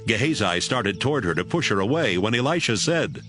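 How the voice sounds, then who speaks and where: A man's voice: lowish voice, very animated, a little fast, fairly bright tone, soft background music. A man narrating a dramatized scripture audiobook in a scripted storytelling style.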